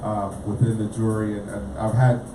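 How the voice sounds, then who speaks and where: A Guyanese-born lawyer, a man, guesting on a radio talk show, speaking haltingly with many repeated words. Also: fairly low pitch, flat delivery. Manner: halting, repeats words, spells things out slowly. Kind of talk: casual radio interview. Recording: echoey room, mic far off, noisy.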